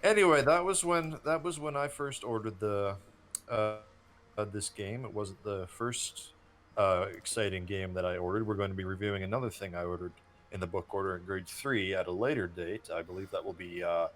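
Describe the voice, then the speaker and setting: A man's voice: low voice, normal speed, fairly bright tone. A thirty-year-old man co-hosting a gaming show, reminiscing and explaining at length in casual gameplay commentary.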